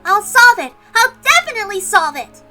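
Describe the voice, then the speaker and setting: A woman voicing an excitable, stubborn little girl in a visual novel read-aloud. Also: very high voice, moderate pace, soft background music, pitched above the performer's own voice, heavily acted. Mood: determined.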